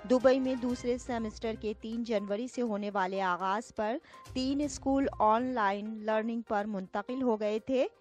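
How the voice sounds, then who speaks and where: High-pitched woman reading the news. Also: steady tone, a little slow, darkish tone, soft background music. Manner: formal, declarative newsreading. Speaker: news presenter. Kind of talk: scripted news bulletin narration in Urdu register.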